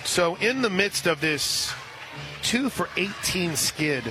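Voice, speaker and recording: An American man doing live radio play-by-play of softball, chatty and folksy between pitches. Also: lively intonation, slow, music in the background, a crowd in the background. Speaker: play-by-play announcer. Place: outdoor softball stadium. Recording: noisy outdoor ballpark broadcast. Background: loud ambient ballpark noise.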